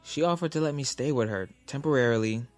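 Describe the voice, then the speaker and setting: A man's voice: medium pitch, fast talker, natural voice. A man acting a blunt, candid lover in a naturalistic audio drama about a troubled romance. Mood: calm, explaining. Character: blunt, candid lover frustrated with married girlfriend. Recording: music behind the voice.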